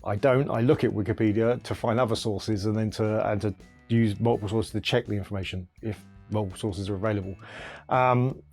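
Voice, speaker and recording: Casual video host, male, lowish voice, earnest thinking-aloud delivery, soft music under him. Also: steady tone, fairly quick. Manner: thinks aloud, earnest, restarts and hedges often. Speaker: retro computer video host. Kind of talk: casual explainer talk to camera.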